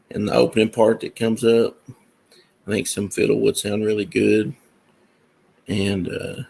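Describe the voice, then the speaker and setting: A man's voice: lowish voice, creaky, a little mellow, husky. A man talking casually to his viewers about his music and projects in a rambling, meandering way with many fillers. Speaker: musician and comic creator. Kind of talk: casual talk to camera.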